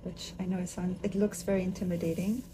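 A woman's voice: lowish voice, flat delivery, fast talker, background noise. A woman from Austria who is a makeup artist, chatting enthusiastically while she does someone's makeup in a living room. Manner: chatty, enthusiastic, talks while working with her hands. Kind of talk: casual chat while doing makeup.

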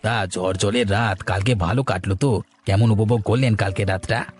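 A man voicing a polite, flattering village working man in a cartoon, deferential and curious. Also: on the low side, normal speed, soft background music, close to an everyday voice. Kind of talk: cartoon voice acting.

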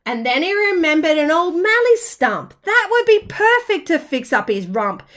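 A woman reading a rhyming children's storybook aloud in an expressive, dramatic, sing-song way, like a teacher. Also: extremely high pitch, moderate pace. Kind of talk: children's storybook narration in rhyme.

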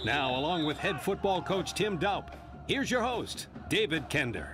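A man's voice: on the high side, big pitch swings, moderate pace. A man reading a scripted radio show introduction and sponsor list in an upbeat announcer delivery. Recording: voice over loud music bed.

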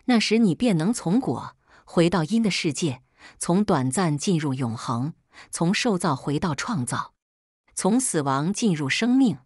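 A woman reading an audiobook as a scripted, solemn narration in a husky voice. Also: on the low side, big pitch swings, unhurried, a little mellow, dry recording, clean studio sound.

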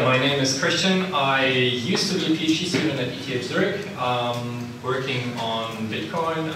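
A young man with a Swiss accent gives a relaxed, self-deprecating seminar talk, scattered with ums and uhs. He is picked up from a distance by a room camera. The lecture room rings with heavy echo, and a steady background noise runs under his voice. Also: mid-range voice. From Italian-speaking Switzerland. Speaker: seminar speaker. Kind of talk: technical lecture to a live audience. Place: lecture room with a projector screen. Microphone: far room camera, no visible mic.

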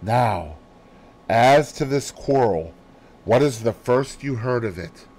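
A man voicing a calm, self-assured, probing detective in an audiobook, with a businesslike, deliberate manner. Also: medium pitch. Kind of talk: audiobook character voice.

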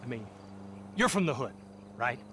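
An actor playing a vain, entitled American film star in a satirical video game, pompous, affected and condescending. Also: male voice, mid-range voice, very animated, normal speed, a bit bright, a little hiss or hum. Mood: condescending, bored.

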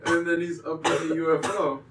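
A man's voice: fairly high pitch, level tone, a little fast, noisy background. A young man riffing jokes playfully as co-host of an improvised comedy chat. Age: young adult. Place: small room with green screen. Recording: echoey room, mic far off.

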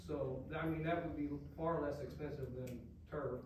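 A man's voice: fairly high pitch, fairly even intonation, normal speed. A man at a school board meeting asking plain, practical questions about costs. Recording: very echoey room, far from the mic, noise.

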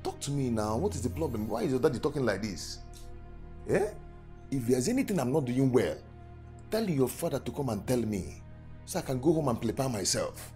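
A man's voice: medium pitch, fairly quick, lightly acted. Man playing an indignant Igbo suitor. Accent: Nigerian, Igbo. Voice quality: animated, pleading. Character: young male suitor, indignant, proud. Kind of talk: skit acting, mixing Igbo and English. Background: music.

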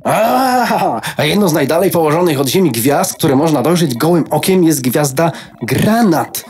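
A woman's voice: sits low, expressive, moderate pace, darkish tone. A woman playing a boastful, chatty computer network card in a children's radio play, condescendingly polite. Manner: condescendingly polite, showing off facts.

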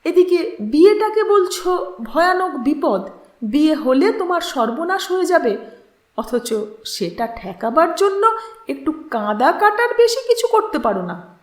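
A woman's voice: sits high, sing-song, unhurried, reverberant, pitched above the performer's own voice. A woman voicing a firm male mentor who admonishes and persuades, in a story reading. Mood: admonishing. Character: firm, reasoning older male mentor advising a young woman.

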